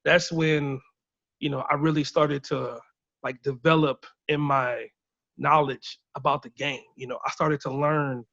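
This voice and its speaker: A man's voice: fairly high pitch, unhurried, gravelly, no echo. Thirties man from Illinois, easygoing storyteller on a muffled call line. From Illinois, USA. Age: 30s. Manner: relaxed, chatty storytelling with laughs. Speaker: band member on a video chat. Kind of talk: casual chat. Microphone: video call. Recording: dull lo-fi video call audio.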